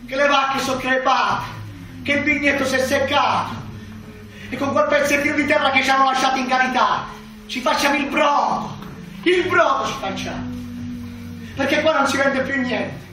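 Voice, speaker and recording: A man's voice: extremely high pitch, steady tone, normal speed, pushed and bright, soft background music. A man acting an angry, bitter villager in a declamatory, insistent stage monologue. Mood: indignant. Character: angry, bitter southern Italian villager denouncing the powerful. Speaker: stage actor. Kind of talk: impassioned theatrical stage monologue. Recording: echoey hall, distant mic, noisy.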